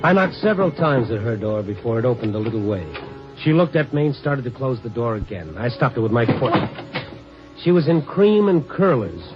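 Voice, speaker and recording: An actor playing a world-weary, persistent newspaper reporter with a clipped hard-boiled manner, in an old-time radio drama. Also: male voice, medium pitch, sing-song, brisk, music in the background, natural voice. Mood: wry narration. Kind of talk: old-time radio acting and scripted narration. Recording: dull old radio recording.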